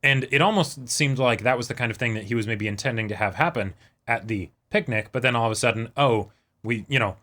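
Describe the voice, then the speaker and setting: A man's voice: mid-range voice, a little fast, pushed and bright. A man co-hosting a reaction show, chatting casually, agreeing and adding comments.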